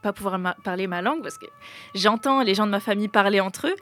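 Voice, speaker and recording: A woman's voice: medium pitch, fast, fairly bright tone, faint music behind. A young woman talking casually and expressively in an informal, slangy group discussion.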